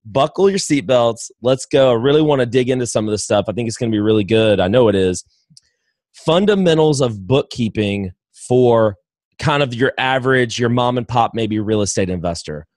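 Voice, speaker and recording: A man's voice: medium pitch, animated, normal speed, darkish tone, no echo, studio quality. A man hosting a podcast interview, who asks his questions in an enthusiastic, rambling way with many restarts. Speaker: podcast host.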